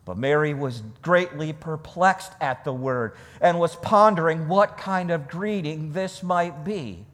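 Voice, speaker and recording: A man delivers a sermon in a high voice, taking his time over each phrase. His delivery is deliberate and emphatic, repeating key words for weight. The sound carries the long reverberation of a large hall. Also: fairly bright tone. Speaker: preacher. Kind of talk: sermon with scripture reading.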